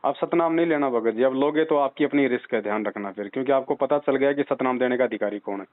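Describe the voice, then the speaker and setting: A man's voice: medium pitch, fast talker. A man counselling a caller in an insistent, preaching way, pressing points with rhetorical questions. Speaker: religious devotee counselling a caller. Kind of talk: persuasive phone counselling. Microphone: telephone. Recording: tinny band-limited phone call recording.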